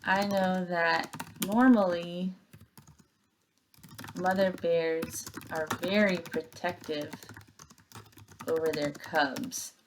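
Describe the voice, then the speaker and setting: A woman teacher reading aloud and giving step-by-step instructions to young pupils in an expressive, emphatic way. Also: fairly low pitch, drawn-out words, pauses often, a little hiss or hum, rough recording.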